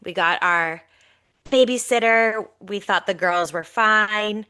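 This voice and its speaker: Congested, chatty woman with bright tone. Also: mid-range voice, slow, clean tone. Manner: animated, chatty storytelling. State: congested, nasal. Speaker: podcast co-host. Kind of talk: casual storytelling chat.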